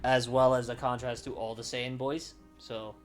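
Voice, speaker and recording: A man livestreaming casually, thinking aloud in a halting way and often trailing off mid-thought. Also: mid-range voice, level tone, faint music behind. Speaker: streamer.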